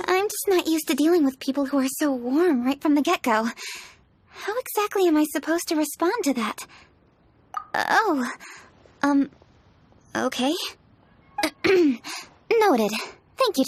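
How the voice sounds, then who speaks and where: High-voiced, formal young noblewoman. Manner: formal, precise, reserved. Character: composed, proud, self-conscious young noblewoman. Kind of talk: video game voice acting.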